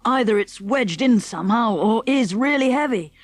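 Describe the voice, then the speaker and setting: Plucky English schoolboy adventurer played by a woman, confident and hearty, high voice, dry close sound, children's storybook reading. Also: expressive, dry recording, close to an everyday voice. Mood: excited, businesslike. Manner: brisk, hearty, old-fashioned British schoolboy diction. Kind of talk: children's audiobook character acting.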